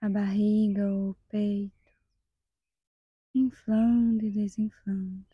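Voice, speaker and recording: A Brazilian woman guides a meditation in a soft, breathy half-whisper. Her pitch stays flat and her pace is slow, with calm, measured pauses. The sound is close and dry. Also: dry recording, muffled recording.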